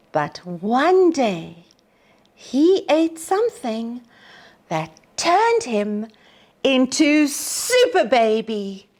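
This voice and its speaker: A woman reading a storybook aloud for young children in a deliberate, expressive way, as a storytime host. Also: sits high.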